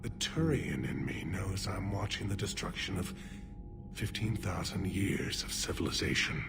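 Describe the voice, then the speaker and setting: Grave, low-voiced alien military leader. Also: male voice, animated, creaky, music in the background. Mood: grim, sorrowful. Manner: formal, solemn, measured. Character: grave alien Turian military leader, weary but resolute. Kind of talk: dramatic video game acting.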